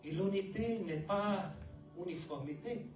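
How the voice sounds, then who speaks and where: Brazilian-accented male pastor, unhurried sermon delivery, picked up from far off in an echoey hall. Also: high-pitched, music in the background. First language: Portuguese. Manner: slow, measured delivery with pauses between short phrases.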